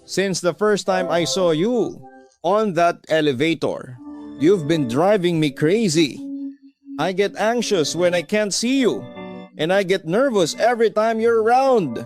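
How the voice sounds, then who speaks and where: A man's voice: sits high, animated, takes it slowly, a little mellow, soft background music, voice pushed up. A Filipino man playing an intense, jealous, lovestruck young suitor in a radio love drama. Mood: pleading, intense.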